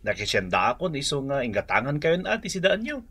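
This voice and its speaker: An actor playing a generous, dutiful grown son with an Ilocano accent in a radio drama. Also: male voice, medium pitch, sing-song, fast, fairly bright tone, slightly rough, exaggerated voice. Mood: kindly.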